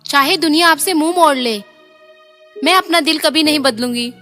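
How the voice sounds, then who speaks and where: A woman voicing a devoted, self-sacrificing young heroine in an animated fairy tale, earnest and pleading. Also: sits high, normal speed, soft background music. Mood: tender.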